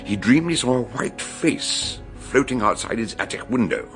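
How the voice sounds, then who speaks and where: An actor playing a shrewd, courteous older British detective in a radio drama, speaking in a ponderous, theatrical way. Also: male voice, medium pitch, very animated, normal speed, fairly bright tone, faint music behind. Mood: grave warning. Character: shrewd, grave, genial older male detective. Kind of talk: radio drama acting and narration.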